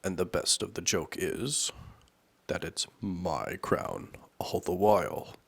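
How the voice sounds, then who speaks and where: A man voicing a fussy, pompous and feeble old storybook king with slow, emphatic delivery. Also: low voice, very animated, vocal fry, husky, dry recording, heavily acted. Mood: blustering.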